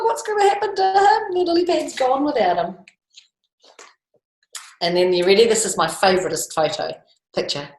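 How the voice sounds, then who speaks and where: A woman with a New Zealand accent talks children through a picture book as a teacher, playful and animated, often asking them questions. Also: a little high. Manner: often chuckles as she talks. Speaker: school teacher reading picture books to children. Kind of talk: read-aloud storytime, talking children through a picture book. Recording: echoey, roomy home-style recording.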